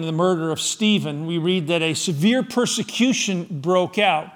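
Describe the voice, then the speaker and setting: A middle-aged man from Scotland preaching a sermon to his congregation, firing rhetorical questions, swinging from loud emphasis to soft, low asides. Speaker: pastor.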